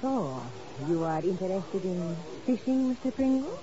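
A woman's voice: mid-range voice, animated, a little slow, natural voice. A woman playing a cool, guarded smuggler in a 1950s espionage radio drama, formal and precise in her speech. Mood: cool, cryptic. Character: guarded, cool female smuggler boat owner. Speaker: supporting actress in a radio drama. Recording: noisy, dull old radio recording.

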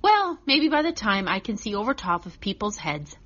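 A woman voicing a chatty, earnest six-year-old boy telling a children's story, childlike and expressive. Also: medium pitch, moderate pace, lo-fi sound. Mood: puzzled, candid. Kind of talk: first-person children's story reading.

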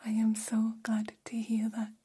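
A woman voicing a gentle, curious humanoid alien in whispered ASMR roleplay, speaking in soothing, stilted, formal phrases. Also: a little high, completely flat delivery, unhurried, slight background noise, natural voice. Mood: grateful, gentle. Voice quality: breathy whisper.

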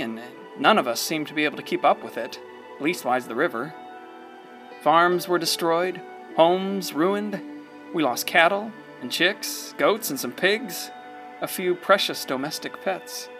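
A folksy storyteller, music behind. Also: male voice, a little high. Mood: somber. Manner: chuckling, hesitant, theatrical. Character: folksy, wry small-town storyteller, fond and rambling. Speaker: narrator. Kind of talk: conversational scripted radio play narration. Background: prominent music.

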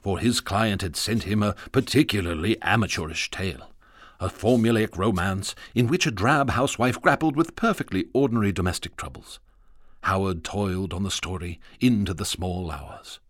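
An actor playing the grandiloquent narrator of a gothic radio drama, telling the scripted tale in a dramatic manner. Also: male voice, low-pitched, moderate pace, no echo, clean studio sound. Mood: wry storytelling. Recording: music behind narration.

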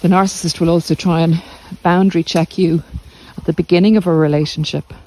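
A middle-aged woman giving reflective, informal podcast advice in a measured, deliberate way with pauses. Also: lowish voice, steady tone, a little mellow, slight background noise, rough recording. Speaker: podcast host and narcissistic abuse recovery coach. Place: walk outdoors along the coast.

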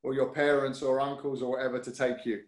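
Man, London accent, casual interview guest, halting, fillers, roomy echo. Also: medium pitch, level tone. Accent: London English. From North London, UK. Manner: hesitant, many fillers and restarts, easygoing.

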